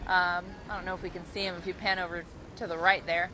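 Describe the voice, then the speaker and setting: A woman's voice: fairly low pitch, flat delivery, quick pace, pushed and bright, rough recording. A woman TV news field reporter giving a conversational, ad-libbed live report from outdoors. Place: outdoors on a beach. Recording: faint outdoor ambient noise.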